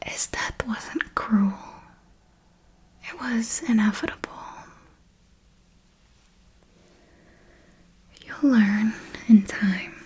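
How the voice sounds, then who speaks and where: A woman's voice: medium pitch, a little mellow, slightly rough. A woman voicing an ancient, serenely ruthless and darkly tender female vampire mentor in an ASMR roleplay, speaking formally and coaxingly in a whisper. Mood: cold, remorseless.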